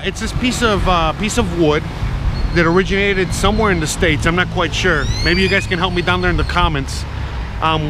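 A man in his thirties talks in a loose, rambling way, stacking fillers as he walks. His voice sits a little high. Traffic and wind roar loudly around the handheld camera mic outdoors. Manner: rambling and chatty, frequent fillers. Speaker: vlogger. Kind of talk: casual walking vlog. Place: outdoors on a sunny city street. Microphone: action camera held at arm's length. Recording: loud street and wind noise.

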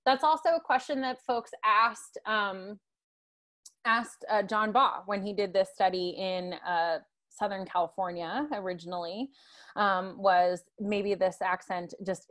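A woman's voice: mid-range voice, a bit bright. A young woman from Tennessee giving a guest lecture over a video call on an earbud headset, often filling with ums and adding "right?" to her points. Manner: frequent ums and tag questions like "right?".

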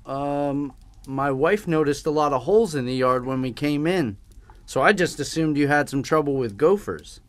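An actor playing a courteous, slightly awkward young newlywed husband in an audio drama. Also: male voice, a little high, animated, normal speed, lightly acted. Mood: curious, uneasy. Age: young adult. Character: polite, curious young newlywed husband, a traveler.

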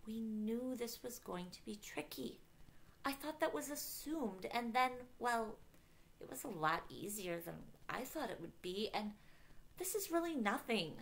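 Narrator doing a stubborn young woman in love, audiobook style, exasperated, emphatic, bit of fry in the voice. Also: on the high side, slow, long pauses, a bit bright, pitched above the performer's own voice, heavily acted. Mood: soothing, earnest.

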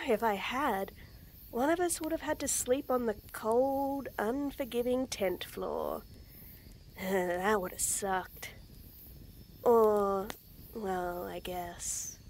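A young woman in a scripted roleplay audio, talking to the listener with nervous stammers, frequent giggles and soft whispers. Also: medium pitch, slight background noise. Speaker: voice actress in a girlfriend audio.

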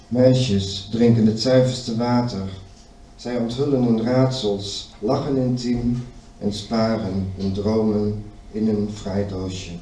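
A man reciting a poem at a stand microphone on a small stage, calm and measured with a steady rhythm. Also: on the low side. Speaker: poet. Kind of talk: poetry reading. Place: small indoor stage with curtains. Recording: roomy distant live stage recording.

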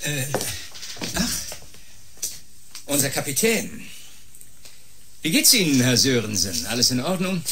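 Animated, sneering male clinic colleague. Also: medium pitch, slow pace, long pauses, background noise, heavily acted. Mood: mocking. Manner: sarcastic, goading. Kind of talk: German science-fiction radio drama acting.

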